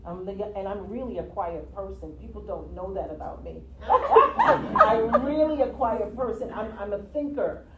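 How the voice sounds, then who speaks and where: A woman's voice: medium pitch, normal speed, few pauses. Chatty woman, roomy and far off. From US Virgin Islands. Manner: expressive, laughs easily, repeats "yeah". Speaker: guest. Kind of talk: casual interview. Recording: roomy, from a distance, faint noise.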